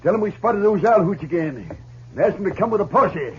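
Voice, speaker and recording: An actor playing a hard-headed, suspicious rancher leading a posse in a 1950s radio western, forceful and bossy. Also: male voice, sits high, expressive, fairly quick. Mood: determined. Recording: very noisy dull 1950s radio recording.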